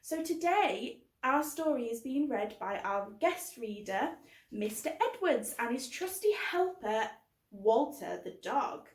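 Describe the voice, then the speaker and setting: A woman's voice: fairly high pitch, animated, measured pace. A woman hosting a children's book club, explaining things simply and putting questions to young listeners. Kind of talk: scripted-sounding introduction for young children. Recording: roomy, echoing indoor sound.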